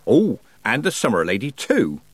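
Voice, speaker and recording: A man's voice: mid-range voice, huge pitch swings, normal speed, no echo. A man voicing a cheeky, teasing old village witch woman in a storybook reading. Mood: teasing, encouraging.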